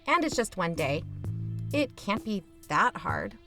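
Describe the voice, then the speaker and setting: A woman's voice: mid-range voice, huge pitch swings, unhurried, vocal fry, fairly bright tone, faint music behind, exaggerated voice. A woman playing a reluctant, sardonic younger sister who hosts an investigative podcast in an audio drama, talking casually. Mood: wry, defensive. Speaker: podcast host character. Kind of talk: conversational audio fiction acting.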